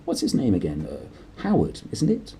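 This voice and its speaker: Guy doing a jittery middle-aged husband from a storybook, mumbly, fake casual, swoopy pitch, bit of noise. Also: huge pitch swings, moderate pace, vocal fry, dark tone, exaggerated voice. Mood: forced casual. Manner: mumbling, hesitant, feigning casualness.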